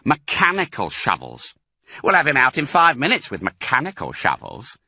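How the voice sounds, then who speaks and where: A man's voice: medium pitch, huge pitch swings, moderate pace, bright, forceful tone, breathy edge, dry recording, clean studio sound, voice pushed up, exaggerated voice. Man playing a mean, bossy, barking old-fashioned farmer. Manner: barking, commanding. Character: thin, filthy, deaf male farmer, mean and bossy.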